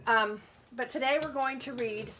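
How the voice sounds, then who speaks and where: A woman's voice: on the high side, normal speed, a bit bright, a little hiss or hum, rough recording. A woman teacher reading a picture book aloud, explaining things simply and putting questions to young children. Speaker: schoolteacher reading aloud to pupils.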